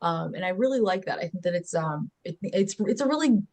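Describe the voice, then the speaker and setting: A woman's voice: on the low side, expressive, fairly quick, a little mellow. A woman hosting a webinar interview on a video call, chatty and upbeat, with fillers. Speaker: webinar host.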